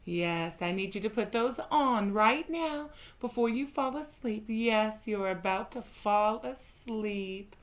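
A woman performing a scripted audio roleplay in a slow, teasing, commanding manner. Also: medium pitch, lo-fi sound.

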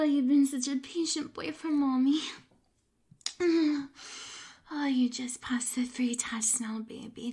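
A woman performing intimate roleplay audio. She speaks in a soft, breathy, coaxing way and often whispers close to the mic. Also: sits high. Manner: coaxing, slow and drawn-out.

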